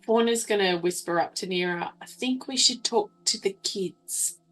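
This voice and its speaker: A woman's voice: mid-range voice, moderate pace, fairly bright tone, soft background music, low-quality recording. A woman talking casually as a player in a tabletop roleplaying game.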